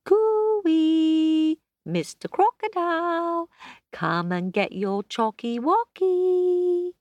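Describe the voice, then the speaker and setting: A woman voicing a spirited young schoolgirl with a bright, exclaiming manner. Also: lowish voice, fairly quick, no echo, highs cut off. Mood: calling. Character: spirited young schoolgirl, bossy but kind.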